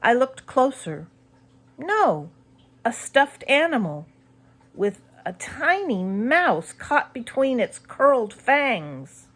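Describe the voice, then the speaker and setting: A woman reading a spooky children's story as scripted narration, expressive and suspenseful. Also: high-pitched, takes it slowly. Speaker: audiobook narrator.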